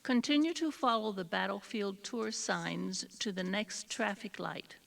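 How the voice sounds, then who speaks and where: A woman reading scripted driving directions in a measured, instructional way. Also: medium pitch, animated, vocal fry, fairly bright tone. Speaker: tour directions narrator. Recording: slightly distant old cassette recording.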